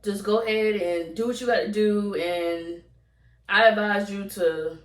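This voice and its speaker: A woman's voice: medium pitch, steady tone, a little slow. A young adult woman with a Southern US accent telling a casual, repetitive story to viewers as a vlogger. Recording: roomy echo, distant from mic.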